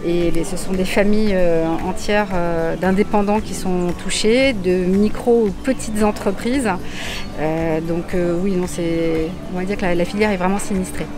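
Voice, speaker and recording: A woman giving an interview as an independent professional, very hesitant, searching for words. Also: on the low side. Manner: very hesitant, halting. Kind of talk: interview statement. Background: music.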